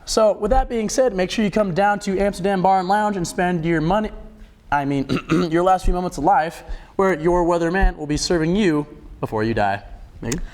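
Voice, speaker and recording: A man's voice: high voice, normal speed, a little mellow. A young American man presenting a comic mock weather report for a student newscast.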